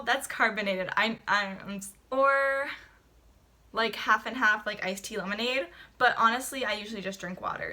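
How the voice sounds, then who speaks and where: Young woman, bright voice, a bit high, chatty, filler-heavy, casual vlog talk. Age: young adult. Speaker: vlogger. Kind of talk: casual get-to-know-me vlog.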